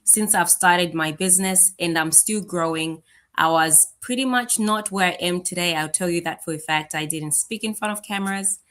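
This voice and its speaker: A woman's voice: lowish voice, normal speed, a bit bright. A woman co-hosting a livestream, giving a casual, earnest and conversational motivational talk. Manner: conversational, earnest, often says "you know". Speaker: livestream co-host, business owner.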